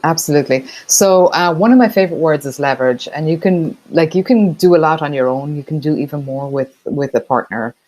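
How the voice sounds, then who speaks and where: A woman's voice: low-pitched, a little fast, few pauses, a little mellow, low-quality recording. A middle-aged woman from Ireland with an Irish accent touched by Boston, chatting easily as an interview guest with many fillers. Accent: Irish with some Boston. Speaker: guest, partnerships expert. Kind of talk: casual interview chat.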